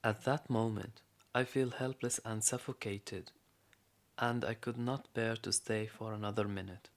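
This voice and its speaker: An actor playing a weary, imprisoned storyteller, narrating a radio play in a measured, grave way with a creaky voice. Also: male voice, on the low side, fairly even intonation. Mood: dejected.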